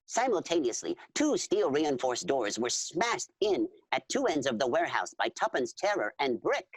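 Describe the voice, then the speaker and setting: A woman playing an eager alien storyteller who narrates adventure formally and dramatically, with a husky voice and spoken sound effects. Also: deep voice, sing-song, normal speed, no echo, full character voice. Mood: dramatic. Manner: formal, repeats full names instead of pronouns.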